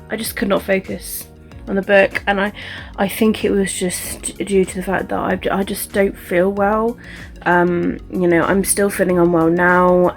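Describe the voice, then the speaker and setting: A young woman chatting casually to camera in a vlog, congested and stuffy-nosed, rambling with fillers and restarts. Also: medium pitch. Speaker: vlogger.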